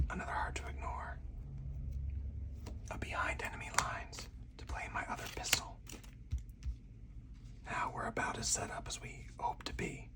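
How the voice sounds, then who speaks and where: Whispering ASMR tabletop game host. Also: recorded from a distance. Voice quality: unvoiced whisper. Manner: step-by-step narration of each move. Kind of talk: whispered ASMR play-through commentary of a solo card game.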